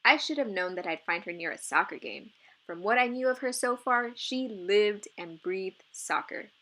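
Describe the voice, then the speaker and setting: A woman's voice: medium pitch, animated, normal speed, fairly bright tone, rough recording. Woman reading a children's novel aloud. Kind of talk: expressive storybook read-aloud.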